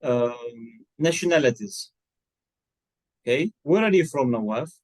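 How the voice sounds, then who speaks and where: A man tutoring English one-to-one, speaking at an everyday middle pitch. He is patient and coaxing, repeats the student's answers back in full and gently corrects their mistakes. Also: animated, measured pace. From Egypt. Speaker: English teacher. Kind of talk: one-to-one online English lesson.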